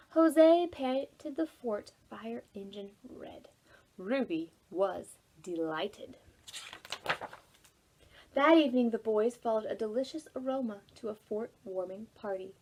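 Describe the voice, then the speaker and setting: A woman's voice: on the high side, warm tone, slightly rough, low-quality recording. A woman hosting a library storytime, reading and giving simple instructions expressively for preschool children. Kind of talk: preschool read-aloud and instruction.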